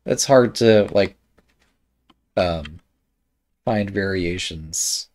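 Slow, musing man thinking aloud. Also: low-pitched, a little mellow. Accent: American Midwest. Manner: hesitant, musing, many ums and trailing thoughts. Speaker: shogi game reviewer. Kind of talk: casual game commentary while thinking aloud.

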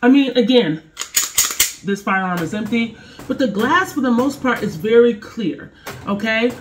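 A woman's voice: fairly high pitch, animated, measured pace, slight background noise. Stuffy woman from a cold, chatty review vibe, talking to camera in her kitchen. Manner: chatty, speaks directly to viewers. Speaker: firearms gear reviewer. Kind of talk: casual product review. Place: home kitchen.

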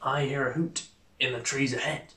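A man's voice: mid-range voice, fairly even intonation, normal speed, sharp tone, echoey room, voice pushed down, close to an everyday voice. A man voicing a big, hungry, gullible monster in a storybook, blunt and easily amused. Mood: hungry, wary.

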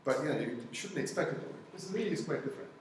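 A middle-aged man whose native tongue is Tamil lectures in a relaxed, chatty way, peppering his points with "you know" and "okay?". His voice sits a bit high. He is captured from a distance in a booming, echoey lecture room with faint noise. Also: racing. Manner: conversational, many "you know" and "okay?" check-ins. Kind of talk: university lecture with audience discussion. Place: lecture hall with blackboard and projector. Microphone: far room camera. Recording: echoey lecture hall, picked up from afar.

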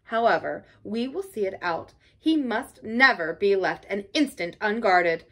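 A woman's voice: mid-range voice, expressive, unhurried, fairly bright tone. A woman voicing a grave, stern but kindly male badger in a storybook, with a formal, oratorical manner. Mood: weary, determined.

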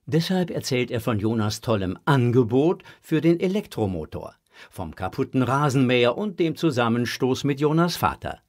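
A man's voice: medium pitch, big pitch swings, moderate pace, a little mellow. A man narrating a children's audio drama from a script.

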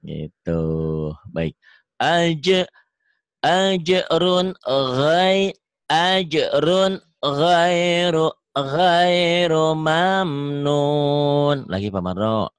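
A man with a Sundanese accent teaching Quran reading over a video call, drawing out syllables slowly, correcting and praising a student. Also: on the high side, animated, clean tone, no room sound, clean studio sound. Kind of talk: online reading lesson.

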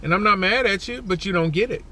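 A man's voice: fast, a little hiss or hum. An older man, ranting animatedly into a phone camera from his parked car and repeating his points. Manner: animated, indignant, repeats himself, jumps up in pitch when worked up. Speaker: vlogger. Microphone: phone camera at arm's length.

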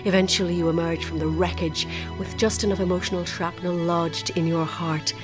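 A woman playing a wistful, lovelorn broadcaster in a radio drama, delivering a measured, intimate, poetic monologue. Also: fairly low pitch, flat delivery, natural voice. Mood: bitter, measured. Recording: loud new-age music under the voice.

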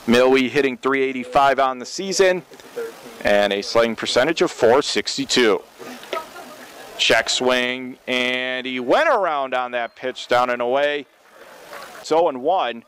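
A man's voice: medium pitch, unhurried, fairly bright tone, low-quality recording. A man calling a baseball game live as a radio play-by-play commentator. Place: open-air baseball stadium. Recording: clear outdoor ballpark ambient noise.

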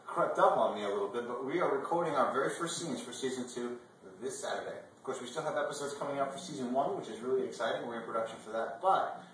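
A man in his twenties co-hosting a video blog, talking casually in a measured, earnest way. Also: mid-range voice, fairly bright tone. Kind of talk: casual talk to camera. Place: indoor dining room at a table. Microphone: far camera microphone. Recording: echoey room, distant mic, faint noise.

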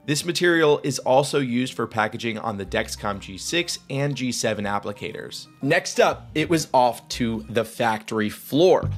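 A man in his thirties hosting a vlog, explaining a device in an upbeat, lightly joking way, recorded in a small studio room on a boom-arm mic. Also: lively intonation, normal speed, hardly any pauses, soft background music. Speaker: vlog host. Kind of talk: explainer narration.

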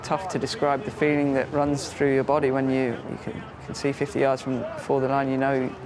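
A male jockey talking excitedly and rushing his words about winning. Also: medium pitch. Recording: crowd noise, distant mic.

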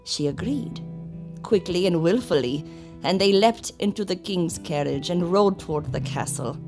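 A woman playing a solemn old servant of a vampire king, speaking in a formal, measured storytelling manner in a gothic audio drama. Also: fairly low pitch, lively intonation, over music, natural voice. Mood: solemn, wistful.

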